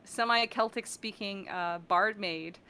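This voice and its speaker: A woman's voice: mid-range voice, measured pace, pushed and bright, slight background noise. A woman chatting casually as an interview guest, playful and rambling as she talks about her work. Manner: playful, rambling, uses silly coinages. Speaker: guest, voice actor and audio director.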